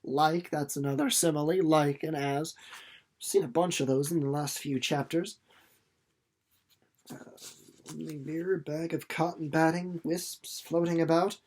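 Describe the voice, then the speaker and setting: A man's voice: fairly high pitch, fairly even intonation, measured pace, long pauses, darkish tone. A young man reading a storybook aloud and commenting on it in an informal, chatty way, musing as he goes. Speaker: chapter reader and commentator.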